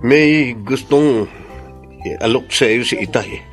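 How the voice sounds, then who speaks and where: An actor playing a protective, blunt older brother in a radio drama, speaking with a Filipino accent. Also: male voice, mid-range voice, slow pace, faint music behind, close to an everyday voice. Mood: persuasive.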